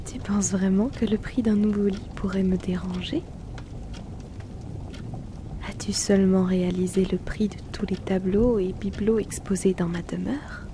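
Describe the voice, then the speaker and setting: A woman voicing an aloof, wealthy hypnotist in a whispered ASMR roleplay, speaking softly and teasingly into a close mic. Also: mid-range voice, fairly even intonation, background noise. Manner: soft teasing whisper, unhurried.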